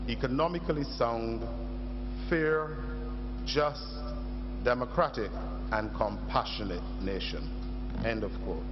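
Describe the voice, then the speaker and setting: A man reads a formal eulogy in a measured, oratorical way, pausing deliberately and stressing his phrases. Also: medium pitch. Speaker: eulogist at a state funeral. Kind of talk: formal scripted eulogy read aloud. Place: public funeral service. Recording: muffled, noisy recording with hum.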